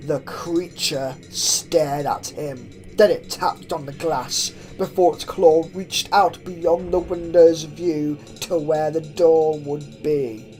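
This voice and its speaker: A woman narrates a horror story in a theatrical, suspenseful way, with drawn-out phrases and hushed drops. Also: sits low, fairly even intonation, soft background music, poor audio. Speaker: fan fiction narrator. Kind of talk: dramatic horror story reading. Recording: clear background noise with ambience.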